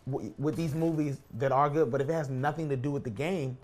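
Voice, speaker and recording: A man's voice: mid-range voice, steady tone, a little fast, darkish tone. A man speaking as a podcast co-host in a casual debate, insistent and repeating himself for emphasis.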